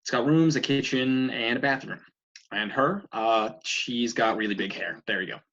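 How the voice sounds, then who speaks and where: A man's voice: mid-range voice, normal speed, a bit bright, highs cut off, close to an everyday voice. A man playing a needy, lovestruck, sardonic young boyfriend in a script read-through. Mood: evasive, joking.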